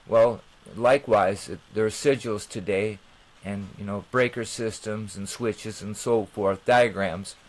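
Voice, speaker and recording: A man's voice: lowish voice, fairly even intonation, poor audio. Rambling man hosting his channel. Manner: rambling, halting. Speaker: channel host. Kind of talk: informal monologue to viewers.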